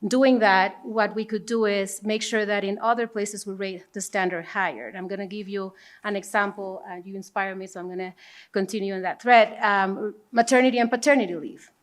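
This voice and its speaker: A woman's voice: medium pitch, fairly even intonation, fairly quick. A woman from Panama, a native Spanish speaker and corporate executive, talking on a conference panel before an audience.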